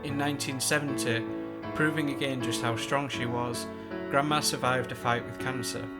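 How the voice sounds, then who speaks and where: A man reading a eulogy he wrote for his grandmother, steady and affectionate. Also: medium pitch, normal speed. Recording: voice-over with loud music behind.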